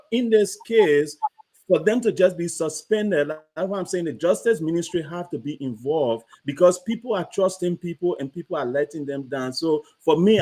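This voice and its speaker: A man's voice: fairly high pitch, mellow, rough recording. A man on a discussion panel, explaining step by step and checking in often with his listeners. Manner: explanatory, checks in with "right?" and "you understand?".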